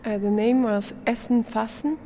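A woman's voice: on the high side, steady tone, normal speed, mellow, a little hiss or hum. A young woman, answering interview questions in English as a performance artist, searching for words with many fillers. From Switzerland.

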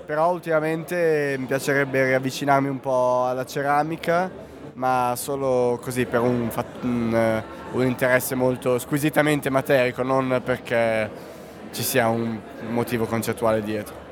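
A man's voice: medium pitch, fairly even intonation, normal speed, over music. A man from Italy with an Italian accent, an art student describing his studies and his work with materials, searching for words as he goes. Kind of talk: personal interview answers. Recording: crowd noise around.

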